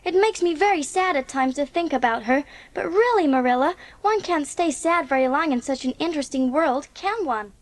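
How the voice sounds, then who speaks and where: Very high-voiced, earnest young girl. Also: expressive, moderate pace, hardly any pauses. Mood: glum, reflective. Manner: grandiloquent, precise, theatrical. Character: earnest, dramatic, talkative eleven-year-old orphan girl. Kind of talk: dramatised audiobook acting.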